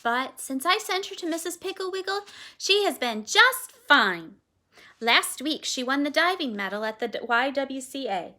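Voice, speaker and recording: A woman's voice: high-pitched, animated, measured pace, sharp tone, pitched above the performer's own voice, full character voice. A woman voicing a chatty, gossipy middle-aged mother in a read-aloud storybook. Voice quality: chatty and gushing.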